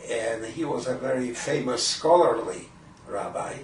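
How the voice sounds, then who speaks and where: An elderly man from Poland in his eighties, a Yiddish speaker, recalling his early life in a halting, deliberate way for an oral history. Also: mid-range voice, animated. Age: 80s. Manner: halting, deliberate, frequent throat clearing. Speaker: Holocaust survivor giving testimony. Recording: roomy, distant microphone with faint noise.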